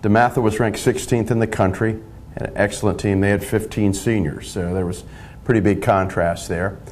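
An older man, a long-serving high school lacrosse coach, answering modestly and with restarts in a TV studio interview on a lapel mic. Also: fairly even intonation, darkish tone, slight background noise. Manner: modest, measured, stumbling restarts.

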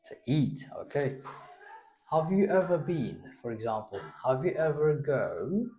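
A man's voice: fairly high pitch, big pitch swings, measured pace, warm tone, poor audio. A young man giving a spoken English test, patiently prompting and correcting a student's answers in a small classroom. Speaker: English teacher.

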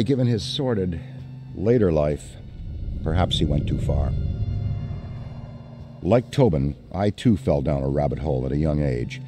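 An actor playing an excitable middle-aged occult scholar who rambles eagerly with hesitant fillers. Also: male voice, fairly low pitch.

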